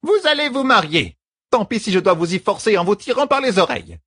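A man's voice: sits high, very animated, brisk, sharp tone, dry recording, studio quality, voice pushed up, exaggerated voice. A man voicing a stern, stubborn old woman servant in an audiobook, with an imperious, scolding manner. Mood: stern, bossy.

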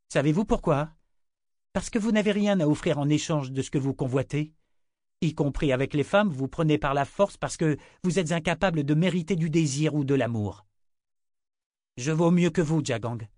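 A man's voice: on the high side, normal speed, darkish tone, dry recording, studio quality, lightly acted. A man voicing a defiant captive woman. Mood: scornful. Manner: cutting, accusing. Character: defiant young woman prisoner, proud, scornful.

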